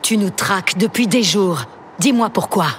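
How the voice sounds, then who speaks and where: A woman's voice: medium pitch, moderate pace, slight background noise, natural voice. Woman playing a cold composed archer. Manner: calm, unshakeable, clipped. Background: music.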